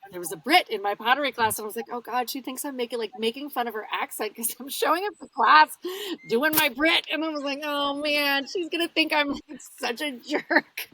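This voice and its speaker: A North American woman with a fairly high voice in a very dry recording. She talks casually, like a guest on a podcast, and acts out little asides as she explains things. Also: a little fast, sharp tone, no room sound, poor audio. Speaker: audiobook narrator, interview guest.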